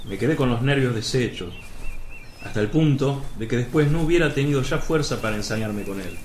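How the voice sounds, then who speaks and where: A man's voice: medium pitch, lively intonation, brisk, a little mellow, natural voice. An actor playing an indignant, agitated disciple who pleads with his master in a dramatic religious radio play. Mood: reflective, strained. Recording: roomy, with noisy outdoor ambience.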